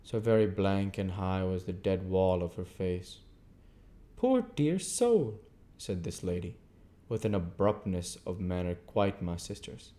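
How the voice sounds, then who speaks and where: A man's voice: low voice, little pitch movement, moderate pace, hardly any pauses, dark tone. A man giving an amateur audiobook narration of a classic novel as the reader and host.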